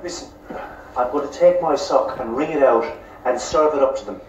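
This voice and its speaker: A man's voice: medium pitch, moderate pace, distant mic, a little hiss or hum, lightly acted. An actor playing a harried but patient male care worker in a children's home, in a television drama. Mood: firm, kindly. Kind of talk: naturalistic television drama dialogue. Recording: echoey, muffled TV clips with music.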